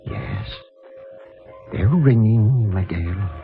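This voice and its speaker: Sorrowful solemn padre, old radio drama, thin phone-like sound, music underneath. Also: male voice, lowish voice, lively intonation. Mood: tender, prayerful. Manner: slow, grave, solemn. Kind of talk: 1950s radio drama acting.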